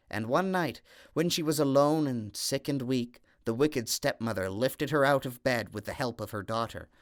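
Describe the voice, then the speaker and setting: Guy reading an old fairy tale, steady, slows down for emphasis. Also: mid-range voice, no room sound, clean studio sound. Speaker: storyteller.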